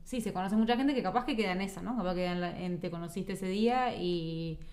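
A woman's voice: lowish voice, fast talker, darkish tone. A woman from Uruguay with a Uruguayan accent, talking casually as an interview guest. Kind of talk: casual chat.

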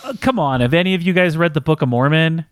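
A man's voice: on the high side, fast, clear, resonant tone, dry recording, clean studio sound. An American man from Utah co-hosting a podcast, bantering casually and jokingly. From Utah, United States. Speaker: podcast co-host.

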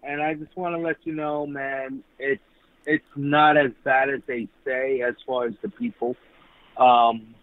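Guy from new york calling in, halting, lots of restarts, dry tinny phone sound. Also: medium pitch, no echo. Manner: hesitant, many restarts and fillers. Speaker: caller. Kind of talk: call-in radio talk.

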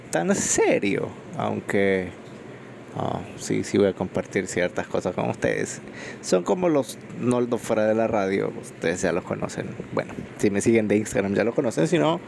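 A man's voice: lowish voice, vocal fry, noisy background. A Salvadoran man with a Salvadoran Spanish accent hosting an unscripted solo podcast, rambling with frequent fillers, recorded on a phone microphone. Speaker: podcast host.

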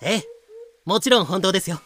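A glib pirate captain, acted by a man, posing as a humble merchant. His bright voice stays polite and slippery over light music, in audio drama fashion. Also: high-pitched, expressive, a little fast. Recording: music bed behind voice.

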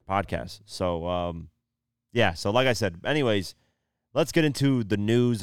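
A tired young man hosting his own podcast, losing his voice and keeping the energy low, talking casually with lots of fillers. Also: clear, resonant tone, dry recording, clean studio sound. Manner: laid-back, subdued, lots of fillers like "you know" and "um". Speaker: podcast host. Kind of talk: casual solo podcast talk.

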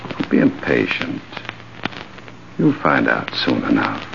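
An actor playing a cold, menacing intruder with a gun, making slow, controlled threats in 1940s radio style. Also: male voice, extremely low pitch, huge pitch swings, gravelly, full character voice. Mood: cold menace. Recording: muffled old radio with faint noise.

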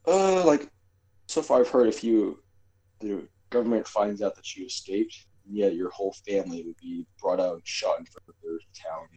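Mellow low-voiced man in casual chat. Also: normal speed, low-quality recording. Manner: rambling, filler-heavy, hedging. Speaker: podcast co-host.

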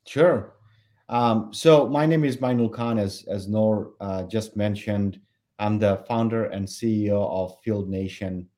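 A man in his forties from Bangladesh, a company founder answering interview questions in a relaxed, halting way with many fillers. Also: lowish voice. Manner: hesitant, many fillers and restarts. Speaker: company founder and CEO, podcast guest.

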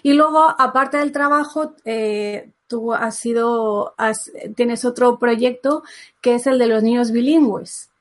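A woman's voice: on the high side, slow pace. A woman from Menorca hosting a podcast interview, asking questions and agreeing often. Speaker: podcast host. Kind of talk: casual interview.

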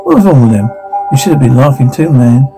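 A man playing a manic, jeering killer who jokes darkly and taunts in profane dark-comedy thriller dialogue. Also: medium pitch, animated, fast talker, creaky, music in the background. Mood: manic amusement.

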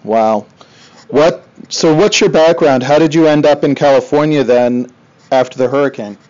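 A man conducting a casual interview, speaking in a hesitant, pausing way. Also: fairly high pitch, darkish tone, lo-fi sound.

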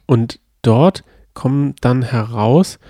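Male co-host, casual, patient explainer, warm dark tone. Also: mid-range voice, very slow, dry recording, clean studio sound. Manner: explains calmly and at length, playful about spoilers. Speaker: podcast co-host. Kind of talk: casual chat about a radio play series.

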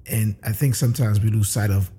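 New man, podcast guest, low dark voice, relaxed pace with "uh"s. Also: brisk, breathy edge. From New York. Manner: easygoing, with many "uh" fillers. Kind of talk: casual interview chat.

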